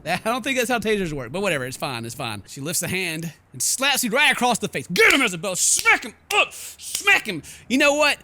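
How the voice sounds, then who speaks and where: A man doing excitable, jokey let's play game commentary, exclaiming and mimicking the characters. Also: high voice, fairly quick, few pauses. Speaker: gaming YouTuber, let's play host.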